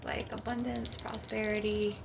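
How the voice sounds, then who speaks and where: A woman with a creaky, fry-laden voice at a medium pitch speaks casually to her viewers. She rambles along as an ASMR host with loose, filler-heavy phrasing. Loud background noise, with tapping and clicking, runs behind her voice. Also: level tone, moderate pace. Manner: rambling, with frequent "like" and "you know". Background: crystal and glass tapping, clicks, background noise.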